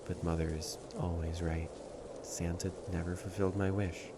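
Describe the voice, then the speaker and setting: A man playing a proud, prickly stray catboy who hides his loneliness, in an emotional audio roleplay with a haughty, halting delivery. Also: deep voice, level tone, very noisy. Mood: wistful storytelling. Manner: tsundere, haughty, defensive, halting.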